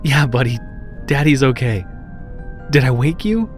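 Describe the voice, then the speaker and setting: A man's voice: medium pitch, expressive, a little slow, soft background music, pitched above the performer's own voice, natural voice. A man voicing a bereaved father in a horror story, tender and strained. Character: anguished bereaved father, gentle and desperate.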